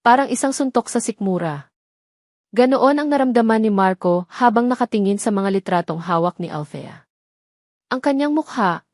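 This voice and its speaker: A woman narrating a scripted romantic drama as an audio storyteller, with deliberate, emotive delivery. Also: mid-range voice, darkish tone, no room sound, clean studio sound.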